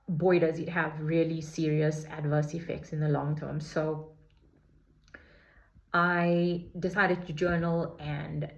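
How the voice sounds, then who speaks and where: A woman in her forties talking reflectively in a casual personal vlog, unhurried and full of fillers and restarts. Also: low voice. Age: 40s. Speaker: vlogger sharing her healing journey.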